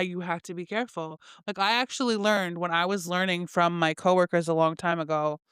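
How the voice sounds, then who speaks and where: A woman's voice: mid-range voice, fairly bright tone, clear, resonant tone, no echo, studio quality. A woman hosting a casual solo podcast from her bed. She reads affirmations aloud in a chatty, self-mocking way and laughs at her own slips. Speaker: podcast host.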